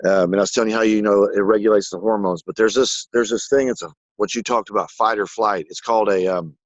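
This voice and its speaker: A man in a casual spiritual conversation who rambles excitedly and swears casually. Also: fairly low pitch, quick pace, no echo, low-quality recording.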